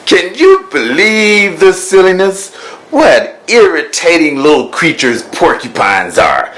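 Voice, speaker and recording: A man's voice: fairly high pitch, big pitch swings, fairly bright tone, heavily acted. A man playing a grumpy, proud lion king. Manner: slow, grand and huffy, growling at times. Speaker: first-person narrator. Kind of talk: storybook narration.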